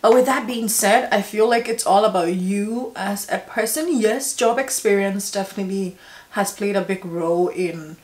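A woman's voice: mid-range voice, moderate pace, echoey room. A woman in her twenties with a Ghanaian accent, chatting emphatically and laughing often while doing her makeup on camera. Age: 20s. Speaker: beauty vlogger. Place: small indoor room.

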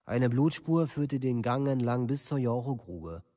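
An actor playing a young killer reciting a formal, matter-of-fact confession in a documentary radio play. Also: male voice, mid-range voice, expressive, unhurried, dark tone, dry recording, studio quality, natural voice. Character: young male killer giving a factual confession.

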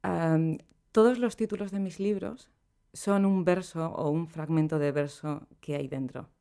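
A middle-aged woman, a poet, talking in a relaxed and reflective way as a podcast guest, laughing easily between thoughts, recorded in a small studio room. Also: low voice, unhurried, smooth. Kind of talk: relaxed conversational interview. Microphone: studio microphone on boom arm.

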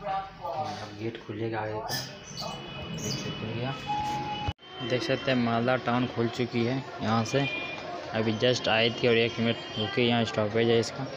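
A man's voice: mid-range voice, a little slow, pauses often, dull audio. A man, narrating a casual travel vlog to viewers in an explanatory, repetitive way. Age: 20s. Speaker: travel vlogger. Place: outdoor railway platforms and footbridges. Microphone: phone at arm's length. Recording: loud music behind the voice.

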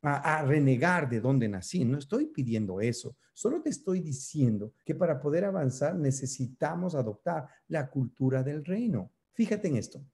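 Earnest pastor man, mellow voice. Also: a little high, lively intonation, moderate pace, hardly any pauses. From Ecuador. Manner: earnest preaching, emphatic, with hand gestures. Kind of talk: sermon over video. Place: office indoors. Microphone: webcam close up.

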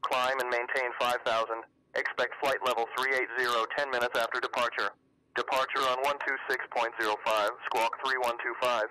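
A man's voice: medium pitch, flat delivery, fairly quick, bright, forceful tone, rough recording. A synthetic man's voice playing a businesslike air traffic controller, speaking clipped, formal phraseology through a radio filter. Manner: clipped, procedural.

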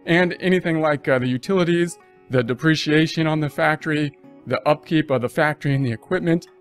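A man's voice: a little high, a little fast, faint music behind. A man teaching accounting in a casual voice-over lecture, talking it through and restarting phrases as he goes. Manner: conversational, restarts mid-phrase, thinks aloud. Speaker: accounting instructor.

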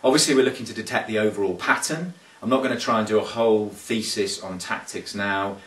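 A man's voice: fairly low pitch, a little fast, fairly bright tone. A man in his thirties giving casual sailing tips to camera, thoughtful and hesitant, with many fillers and restarts, recorded indoors in a small room on the camera mic. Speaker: sailing coach. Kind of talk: casual instructional talk to camera. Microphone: camera mic from a few feet away. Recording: roomy, distant camera audio.

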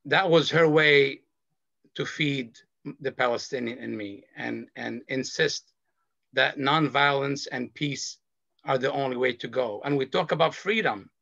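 Earnest man on a gravelly video call. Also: medium pitch, bright, forceful tone, no room sound. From Lebanon. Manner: slow, earnest, measured delivery. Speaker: panelist. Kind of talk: personal testimony on a panel. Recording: dull video call audio.

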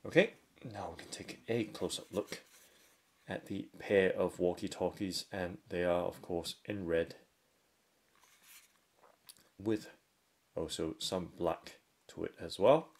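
A man giving unhurried, step-by-step product unboxing commentary indoors at a tabletop. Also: deep voice, fairly even intonation. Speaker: product reviewer. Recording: faint handling and crinkling noise. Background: faint clicks and packaging crinkle.

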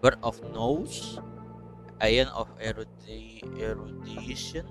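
A gaming streamer with an Indonesian accent and a medium-pitched voice talks slowly and casually through a playthrough. Remarks are offhand and switch between languages, with game music clearly behind. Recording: voice over loud game music.

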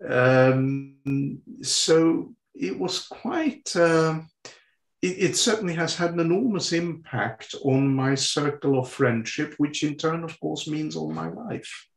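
A man's voice: a little high, lively intonation, slow, vocal fry. A man in his sixties, raised speaking French, answering interview questions in a hesitant way with many fillers and restarts. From Sweden. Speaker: guest. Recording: roomy echo.

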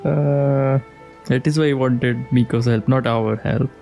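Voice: mid-range voice, fairly even intonation, unhurried, gravelly, dark tone. A streamer giving casual, giggly playthrough commentary, reacting to the characters. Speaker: streamer playing a game. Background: game music.

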